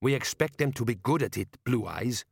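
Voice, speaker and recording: A man voicing a proud, reproachful desert warrior who speaks slowly and deliberately. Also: medium pitch, expressive, creaky, slightly rough, no echo, studio quality. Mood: sly, deadpan. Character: fierce reproachful desert warrior, proud self-declared dreg.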